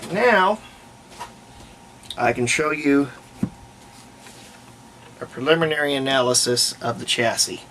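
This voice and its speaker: A man's voice: mid-range voice, expressive, takes it slowly, pauses often, a bit bright. A man giving casual, unscripted workbench commentary, thinking aloud as he works through a hobby restoration. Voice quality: gravelly, creaky. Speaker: hobbyist restorer presenting a repair video. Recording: clear background noise.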